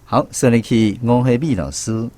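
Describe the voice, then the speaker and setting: A man with a Taiwanese accent hosting a radio language lesson in a measured, explanatory way. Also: mid-range voice, darkish tone. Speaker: radio show host.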